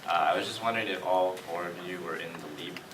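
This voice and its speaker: A man's voice: low-pitched, fast. A man, a student in the audience, asking a question in a tentative, apologetic way. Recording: echoey hall from far off, noisy.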